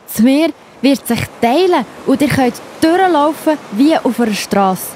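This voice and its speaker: A woman with a Swiss accent narrating Bible stories for children in an expressive, enthusiastic, simple way. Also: high-pitched, unhurried, slight background noise. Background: music behind the voice.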